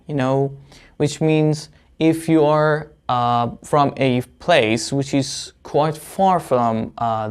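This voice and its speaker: A man giving an English lesson to viewers, explaining deliberately as a teacher with example phrases. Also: a little high, clean tone.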